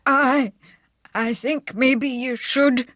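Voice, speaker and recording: Frail, slow-speaking older Scottish woman. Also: sits high, steady tone, a bit bright, a little hiss or hum, heavily acted. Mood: frail, wistful. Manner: weak, halting, plaintive. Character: ailing stubborn older Scottish woman, feverish and repentant. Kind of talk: radio drama acting.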